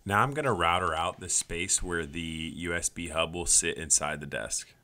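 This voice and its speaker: Deep man, crisp bright tone, calm voice-over, step-by-step how-to. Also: moderate pace. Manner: plain step-by-step explaining. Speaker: woodworking channel host. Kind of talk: voice-over narration of a build.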